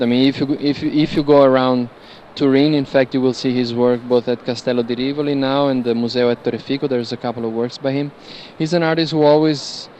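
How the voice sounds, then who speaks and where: A man giving an informal, explanatory talk on artworks as a guide, pausing to search for words. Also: medium pitch, darkish tone. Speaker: curator guiding an art fair tour. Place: large indoor art fair hall. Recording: clear background noise, busy fair hall.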